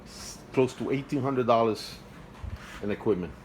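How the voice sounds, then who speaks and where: A man's voice: mid-range voice, darkish tone, low-quality recording. Guy with an accent talking on his phone at home, keeps restarting, earnest, bit of hum. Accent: non-native English accent. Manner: halting and repetitive, restarts phrases, earnest and pleading. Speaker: hobbyist vlogger. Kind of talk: casual home video walkthrough. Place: home, beside an aquarium indoors. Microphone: phone held by the speaker while filming.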